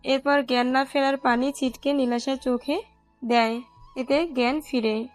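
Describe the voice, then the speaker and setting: A woman narrator with a high-pitched voice tells a scripted romantic story, with faint music underneath. She reads as a storyteller, line by line. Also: steady tone, measured pace.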